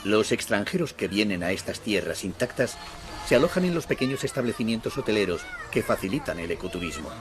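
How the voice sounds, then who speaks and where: A man reading scripted documentary narration in a measured, descriptive way. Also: on the low side, very animated. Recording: voice-over with loud music underneath. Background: music.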